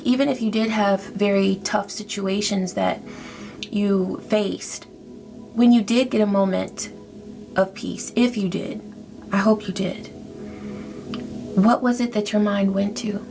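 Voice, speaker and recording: A woman giving a spiritual tarot reading in a soft, reflective, gently encouraging way. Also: mid-range voice, unhurried, creaky. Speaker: tarot reader. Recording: faint music behind.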